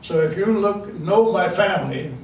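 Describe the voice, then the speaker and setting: A man's voice: high-pitched, darkish tone, recorded from a distance. Older man telling stories in an echoey hall. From South Dakota. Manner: folksy, halting with many restarts. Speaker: guest speaker. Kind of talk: storytelling talk. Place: large meeting room. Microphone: handheld mic. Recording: echoey room, mic from afar, faint hiss.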